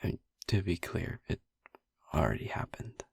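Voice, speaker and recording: Casting: male actor as brooding, tender vampire boyfriend for intimate audio drama; very breathy, gravelly, hesitant, close to a whisper. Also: low-pitched, very animated, no room sound, exaggerated voice. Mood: somber, reflective. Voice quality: breathy, husky, often near a whisper. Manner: halting, soft, hesitant, with long pauses.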